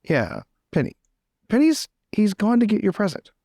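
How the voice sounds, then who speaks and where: A man's voice: sits high, huge pitch swings, normal speed, vocal fry, warm tone, no echo, studio quality. A man playing a tabletop roleplaying game, chatting and switching between narration and in-character dialogue.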